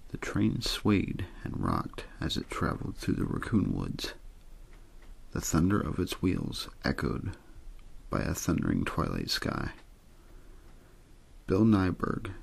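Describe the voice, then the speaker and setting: A man softly reading a story in ASMR style, unhurried and untheatrical, with a husky, gravelly voice. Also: low voice, wildly swooping pitch. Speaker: host and reader of an audio ASMR show.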